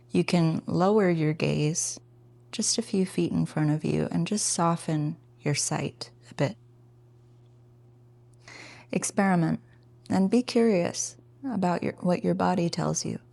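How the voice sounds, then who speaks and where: Woman meditation teacher, really slow n gentle, lots of vocal fry, kinda informal and encouraging. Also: on the low side. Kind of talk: guided meditation instruction.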